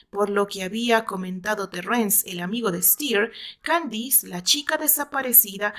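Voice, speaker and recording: A woman with a Mexican accent reading a short story aloud as expressive, dramatic scripted narration. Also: mid-range voice.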